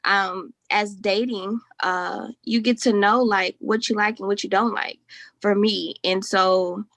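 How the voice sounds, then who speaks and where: Interview guest, woman in her twenties, casual and sincere, mid-pitched, dry close sound. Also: fairly even intonation, unhurried, fairly bright tone, dry recording. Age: 20s. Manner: casual, filler-heavy, sincere. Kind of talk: candid conversation.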